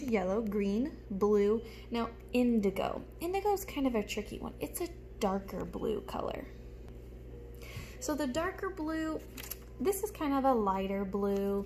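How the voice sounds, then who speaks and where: A young woman speaks like a teacher to little children, in a mid-range voice. She explains each thing in simple, expressive phrases, show-and-tell style. It is recorded on a phone in a room at home, with some light background noise. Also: mid-range voice. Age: young adult. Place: small room at home, indoors. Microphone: phone held close in front.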